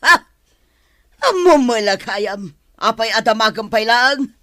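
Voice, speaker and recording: Sharp, bright-voiced older mother-in-law. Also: a little high, animated, takes it slowly, no echo, clean studio sound, heavily acted. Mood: scolding. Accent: Ilocano. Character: sharp-tongued older mother-in-law, mahjong-playing grandmother. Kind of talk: Ilocano radio drama acting.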